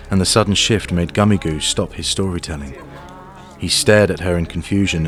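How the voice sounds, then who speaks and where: A man narrating a scripted fantasy audio drama as its storyteller. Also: low voice. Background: music.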